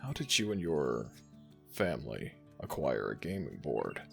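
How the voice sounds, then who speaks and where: A man voicing an ancient jackal god in an audio roleplay, gentle, teasing and fond toward the listener. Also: big pitch swings, faint music behind, full character voice. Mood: playful warm. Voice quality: very deep gravelly voice, slow and soothing. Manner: affectionate, playfully self-mocking.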